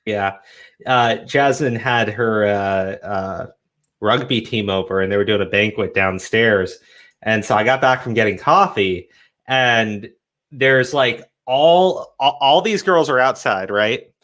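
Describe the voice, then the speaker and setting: A man's voice: on the low side, takes it slowly, fairly bright tone. A young man hosting a casual live stream, with a cold and a cough, rambling with many restarts. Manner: rambling, stumbling restarts, laid-back. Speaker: host.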